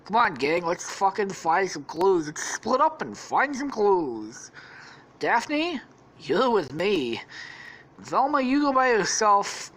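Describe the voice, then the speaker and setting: A woman's voice: mid-range voice, sing-song, slow pace, pitched above the performer's own voice, poor audio. A woman voicing a bossy, crude young man who leads the group. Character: bossy pushy young man, gang leader.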